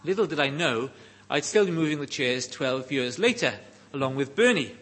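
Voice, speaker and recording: A middle-aged Welsh man preaches in a fairly high, husky voice. His pitch moves in wide, lively swings. He delivers the sermon slowly, setting out each phrase with deliberate emphasis. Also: low-quality recording. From Wales.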